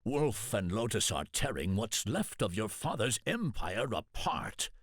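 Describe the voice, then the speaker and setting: A man playing a grave, devoted samurai retainer in a video game, with a husky voice and a measured, earnest delivery. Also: on the low side, sing-song, dry recording, clean studio sound, full character voice. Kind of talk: dramatic video game cutscene acting.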